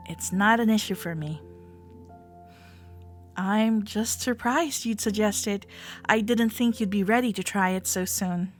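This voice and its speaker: A woman playing an affectionate, gently teasing cuddler in ASMR roleplay audio, soft-spoken and often slipping into a breathy whisper. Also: medium pitch. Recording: soft cafe jazz music behind. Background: soft background music.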